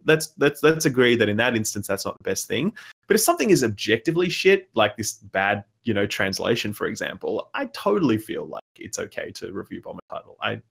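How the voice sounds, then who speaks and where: A man's voice: fairly low pitch, very animated, fairly quick, creaky, fairly bright tone, husky. A man giving his opinions bluntly and emphatically in a casual discussion, swearing freely. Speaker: podcast guest.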